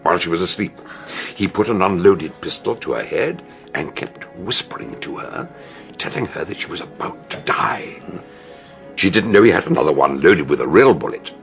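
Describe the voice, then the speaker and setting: A shrewd older British detective in a radio murder mystery. His low voice creaks with gravel and swings widely as he lays out each deduction theatrically, lingering on dramatic pauses. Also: expressive, faint music behind. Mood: relishing, dramatic.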